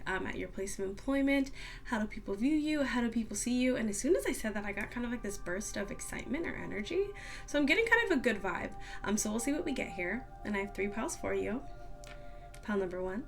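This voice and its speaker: A woman reading tarot cards in a casual, upbeat monologue, a little scratchy as she gets over a cold, with many soft "um"s. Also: medium pitch, creaky. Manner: soft, upbeat, lots of "um" and "kind of". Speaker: tarot card reader.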